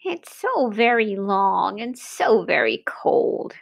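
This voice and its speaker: A woman's voice: mid-range voice, big pitch swings, slow, gravelly, no room sound, exaggerated voice. A woman doing a mournful storybook giraffe. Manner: mournful, wistful. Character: sad female giraffe with a cold neck.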